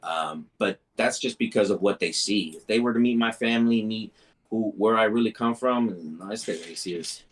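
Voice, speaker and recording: A man's voice: lowish voice, normal speed. A man, telling his story as a podcast guest in laid-back street slang, dropping Spanish phrases and calling everyone bro. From Mexico. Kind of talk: casual podcast chat.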